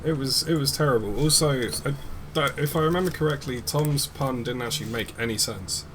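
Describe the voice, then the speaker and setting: A young man, chatting in a relaxed way during a gaming stream and swearing casually. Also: mid-range voice, fairly quick, noisy background.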